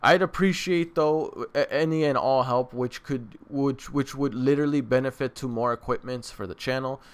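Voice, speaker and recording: Clear-voiced man chatting casually as host. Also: medium pitch, normal speed. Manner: rambling, repeats and rephrases himself. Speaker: reaction video host. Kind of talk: casual review chat to camera. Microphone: microphone on a stand.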